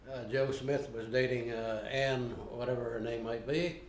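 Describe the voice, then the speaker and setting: An older man being interviewed, recalling his past in a plainspoken, unhurried way with frequent pauses. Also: medium pitch, little pitch movement, a little hiss or hum. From United States. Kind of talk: unscripted recollection. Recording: roomy home video recording.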